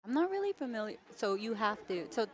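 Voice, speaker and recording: A woman hosting live pool coverage who chats warmly with her co-commentator and explains the rules of the match. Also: on the high side, big pitch swings, fairly quick, a faint crowd. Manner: friendly, explains rules, laughs often.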